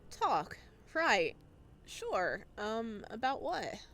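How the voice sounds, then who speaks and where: A woman voicing an awkward, intense, mysterious young man from a visual novel, hesitant and soft-spoken. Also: fairly high pitch, sing-song, very slow, a little hiss or hum, exaggerated voice. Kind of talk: visual novel character read aloud.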